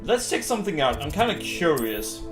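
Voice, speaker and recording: A man doing casual let's-play commentary as a gaming streamer, rambling and thinking out loud. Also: a little high, sing-song, normal speed. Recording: game music behind the voice. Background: video game music.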